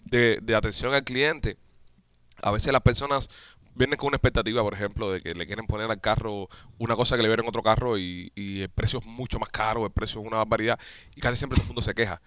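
A man's voice: lowish voice, steady tone, fast talker, fairly bright tone, no echo. A man hosting a casual chat, joking and using loose slang. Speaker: podcast host.

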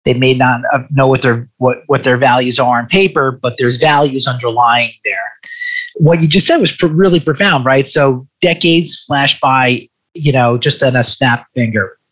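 A man's voice: medium pitch, normal speed, fairly bright tone, husky. A man in his forties talking reflectively in a conversational interview, stressing points by repeating phrases.

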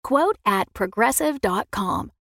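A woman's voice: mid-range voice, animated, slow, creaky, fairly bright tone, no echo, clean studio sound. A woman reading a scripted advertisement as an announcer. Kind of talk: scripted ad read.

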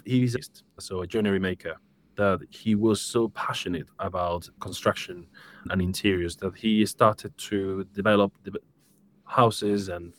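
Spanish guy in his 30s, kinda low voice, chatting easy on a stream interview. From Spain, Seville. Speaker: guest, interior design studio director. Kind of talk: conversational interview answers on a live stream.

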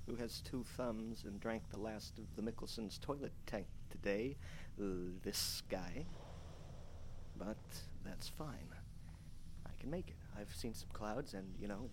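An actor playing a starving, trapped male survivor in an audio horror drama, desperate and darkly joking, talking in a halting, stammering way between murmurs and strained yells. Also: fairly low pitch. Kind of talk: live audio horror drama, recorded diary monologue. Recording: clearly noisy live stage recording.

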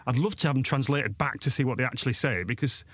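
A man's voice: medium pitch, fast, a bit bright, breathy edge, no echo. A man in his forties from Sheffield with a South Yorkshire accent, chatting as a guest in a casual interview, self-deprecating and rambling with lots of restarts. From Sheffield, England. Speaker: guest author and blogger.

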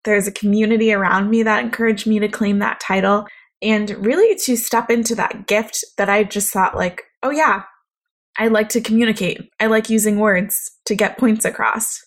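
A young woman with a naturally quiet voice, talking reflectively in a solo podcast monologue. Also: mid-range voice, fairly even intonation, normal speed. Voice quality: quiet, soft-spoken voice. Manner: reflective, conversational, thoughtful. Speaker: podcast host.

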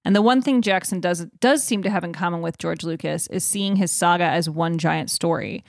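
A woman with a slightly low voice chats casually as a podcast co-host. The sound is dry and close, with very little room on it. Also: normal speed, gravelly, no echo. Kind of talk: casual chat.

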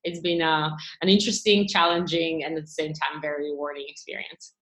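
A woman in her forties from Bulgaria, a founder answering interview questions in a measured way, with small laughs and fillers. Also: deep voice, flat delivery, a bit bright, reverberant, low-quality recording. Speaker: startup founder and CEO.

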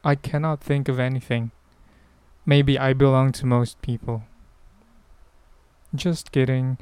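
A man's voice: medium pitch, steady tone, unhurried, a little mellow, clear, resonant tone, no echo. A man with a Filipino accent hosting a casual solo podcast, answering questions in a hesitant, rambling way as he thinks aloud. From Philippines. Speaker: podcast host.

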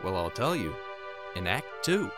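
A man's voice: on the low side, very animated, measured pace. A man delivering dramatic scripted narration as the narrator of a story. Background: music.

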